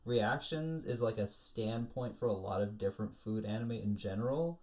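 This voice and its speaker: A man's voice: fairly low pitch, expressive, normal speed, darkish tone. Man chatting casually in a rambling way. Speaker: podcast co-host. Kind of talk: casual discussion of food anime.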